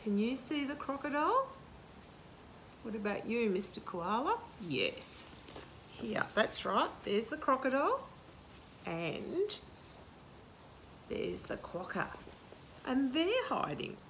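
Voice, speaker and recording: A woman's voice: a little high, slow, pauses often, a little hiss or hum, poor audio. A woman in her sixties reading a picture book to young children in a sing-song, questioning way. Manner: animated, sing-song, asking the listener questions. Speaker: children's storytime host. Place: outdoor garden patio. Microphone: camera a short distance away.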